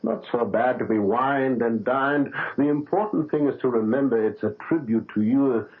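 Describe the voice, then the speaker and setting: A man's voice: medium pitch, normal speed, close to an everyday voice. An actor playing a jovial, reassuring surgeon father in a 1970s radio drama, hearty in manner. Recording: tinny old radio broadcast with faint music.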